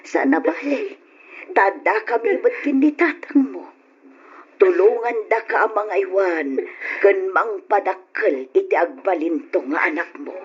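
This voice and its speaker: A woman's voice: medium pitch, animated, a little slow, husky. A woman playing a tender, protective middle-aged mother in an old Ilocano radio drama, soothing and emotive, with an Ilocano accent. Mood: consoling, sorrowful. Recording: dull lo-fi old radio recording.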